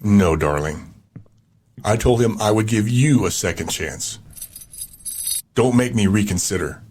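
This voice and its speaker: A ruthless gang boss acted by a man in an audio drama. He speaks low, with smug, cold command and quiet threat, over faint background noise. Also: expressive, a little slow. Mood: cold, threatening.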